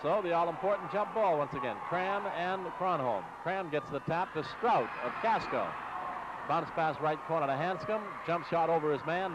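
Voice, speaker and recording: An American man doing live radio basketball play-by-play in clipped, rapid-fire short phrases, on an old AM broadcast. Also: fairly high pitch. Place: basketball game in a gym. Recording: muffled noisy 1960s AM radio broadcast.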